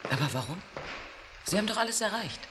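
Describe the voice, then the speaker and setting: An actress in a science fiction radio play, playing a composed, sceptical press officer with a wry, down-to-earth manner. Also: female voice, medium pitch, very animated, fast talker, close to an everyday voice. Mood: wry, curious. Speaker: radio drama actor. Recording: faintly hissy old radio drama recording.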